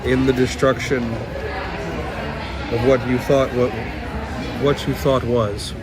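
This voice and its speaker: A man giving a solemn divination reading in measured, oracular phrasing. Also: mid-range voice, level tone, over a noisy crowd, heavy background noise. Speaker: rune reader. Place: fair booth, filmed on location.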